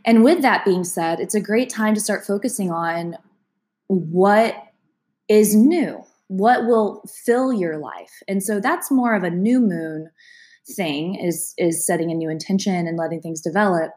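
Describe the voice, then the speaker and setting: A woman's voice: mid-range voice, a little slow. A woman with a cold, sounding congested, giving a casual, chatty astrology forecast to her viewers and laughing now and then. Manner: chatty, gentle, laughs between sentences. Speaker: astrology vlogger. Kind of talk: casual talk to camera.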